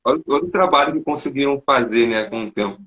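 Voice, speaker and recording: A man's voice: mid-range voice, quick pace, fairly bright tone, muffled recording. A Brazilian man hosting an interview, talking in a reflective, conversational way. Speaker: host. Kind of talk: interview podcast.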